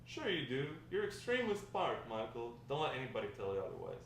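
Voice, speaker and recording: A talkative old grandfather played by a man reminisces in a conversational, hesitant way at a drama table read. He is warm and encouraging, with a mid-pitched voice. The recording is very echoey and distant from the mic, with faint noise. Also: wildly swooping pitch, vocal fry, full character voice. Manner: conversational, rambling storytelling with hesitant pauses. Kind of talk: amateur table read of a script.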